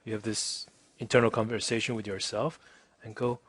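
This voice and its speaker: Husky male instructor, casual and chatty. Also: fairly low pitch, flat delivery, moderate pace, a bit bright, dry recording. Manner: informal, chatty, checks in with "okay" and "right". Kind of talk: casual software demonstration lesson.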